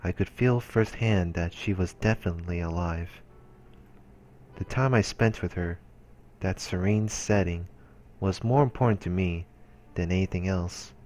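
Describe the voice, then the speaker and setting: A man's voice: low voice, unhurried, a little mellow, slight background noise. A man reading visual novel text aloud as a let's play narrator, in a dramatic storytelling style. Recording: background music behind the voice.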